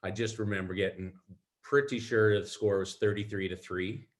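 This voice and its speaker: A middle-aged man with a fairly low voice, talking casually as a podcast guest. He rambles through his memories with plenty of ums and restarts, and pokes fun at himself. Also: fairly even intonation, normal speed. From Alberta, Canada. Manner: rambling, self-deprecating, frequent ums and restarts. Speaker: guest, club player. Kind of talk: casual storytelling interview.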